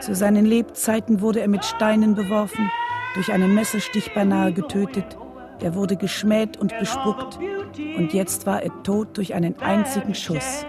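An actress playing a grave widow who narrates a radio play in a sober, measured way. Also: female voice, mid-range voice, music in the background, close to an everyday voice. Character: Coretta King, grave widow recounting her husband's death.

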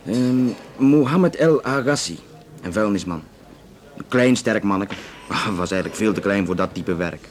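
An actor with a Flemish accent playing a matter-of-fact, talkative Belgian police investigator in a naturalistic radio drama, explaining conversationally with some hesitation. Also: male voice, fairly low pitch, expressive, a little slow. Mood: matter-of-fact, narrating.

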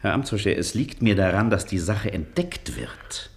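An actor in a German radio play, playing an earnest, formal doctor who is stubborn and easily offended. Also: male voice, on the low side, big pitch swings, normal speed, gravelly. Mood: earnest, deliberate. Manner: formal, hesitant, circumstantial.

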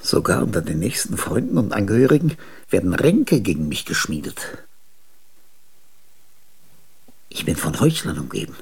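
Ein Schauspieler spielt in einem Hörspiel einen kranken, misstrauischen und großspurigen älteren Künstler, theatralisch zwischen Flüstern und Ausbrüchen. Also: male voice, low voice, sing-song, brisk, vocal fry, husky, heavily acted. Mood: paranoid. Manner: theatrical, swinging from hushed whispers to sudden outbursts. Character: ailing, suspicious, self-aggrandizing older male artist. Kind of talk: radio drama acting.

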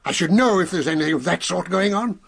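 Creaky, high-voiced old English colonel. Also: male voice, fast, fairly bright tone, heavily acted. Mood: indignant, flustered. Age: older. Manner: flustered, indignant, proper. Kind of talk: radio drama acting.

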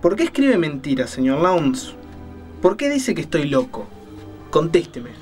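A man playing a delusional kidnapper in an audio drama, speaking formally and deliberately with mocking politeness. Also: a little high, big pitch swings, darkish tone, soft background music. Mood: controlled menace. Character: menacing male kidnapper, a delusional killer, coldly courteous.

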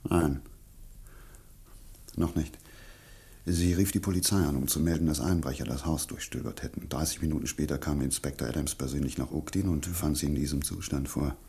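A man acting as a calm, courteous scientific investigator in a German radio mystery drama, speaking in a measured, slightly hesitant way. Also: a bass voice, creaky, slightly rough, full character voice. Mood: grave.

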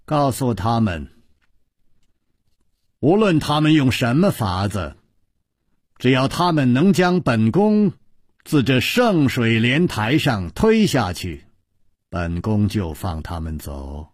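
A man voicing a cold, imperious woman who rules a palace, speaking slowly and with scorn. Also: mid-range voice, big pitch swings, no room sound, studio quality. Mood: icy. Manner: slow, scornful, clipped.

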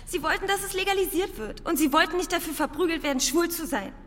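Anguished high-voiced young student, reverberant. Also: female voice, fast, a bit bright. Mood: reluctant, confessing. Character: frightened, bitter young female student informant in Soviet-era thriller. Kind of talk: radio drama acting. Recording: long reverberant hall, music behind.

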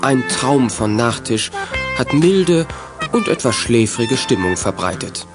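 A man's voice: mid-range voice, sing-song, slow pace. A man narrating a children's radio play as a scripted storyteller. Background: music.

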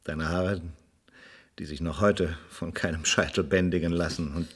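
A remorseful old ex-policeman reminisces in a very low, reflective voice. The delivery is weighty radio drama acting. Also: steady tone, moderate pace. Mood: reminiscing. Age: older. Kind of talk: German radio play acting.

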